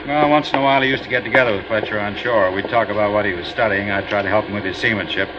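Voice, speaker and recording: A talkative ship captain, voiced by a man, rambles on, hesitant and friendly, in a 1950s radio drama. He talks quickly at a middle pitch. The broadcast sounds muffled and carries a loud hiss. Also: close to an everyday voice.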